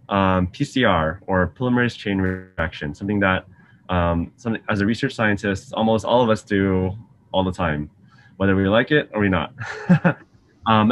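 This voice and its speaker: Young male grad student, lower voice, chatty, faint hiss over webcam call. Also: fairly bright tone. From Singapore. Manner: friendly, chatty, frequent ums, asks students questions. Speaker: graduate student science outreach teacher. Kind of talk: casual online science lesson. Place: lab office with shelves, indoors. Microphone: video call webcam.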